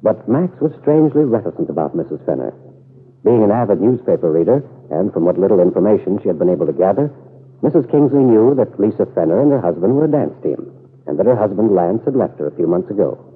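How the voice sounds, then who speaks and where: A man's voice: lowish voice, very animated, fast, husky. A man narrating a radio serial as its announcer, in formal, scripted storytelling style from old-time radio. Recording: tinny, muffled old radio broadcast.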